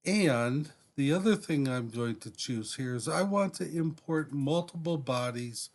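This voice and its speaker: Slow-paced man giving a software tutorial. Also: a little high, animated. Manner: deliberate, halting, frequently pausing mid-sentence. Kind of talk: step-by-step screen demonstration, unscripted.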